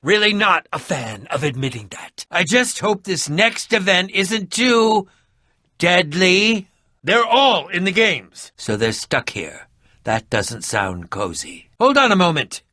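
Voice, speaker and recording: An eccentric game adventurer with a bright, forceful voice that swings widely in pitch. He is pompous and sardonic, choosing formal words and delivering them with theatrical flair. The sound is dry and close. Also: very animated, slow, no echo, full character voice. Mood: dry, uneasy. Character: eccentric, prickly, melodramatic bearded male adventurer. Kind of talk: animated video game voice acting.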